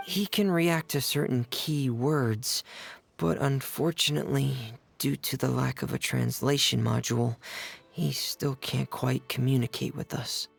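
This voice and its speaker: Quiet teen boy tinkerer for a game, bit of gravel, earnest and polite, measured. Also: medium pitch, fairly even intonation, faint music behind, heavily acted. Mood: calm, helpful. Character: shy, earnest, soft-spoken teenage boy mechanic and diver. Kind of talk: video game voice acting.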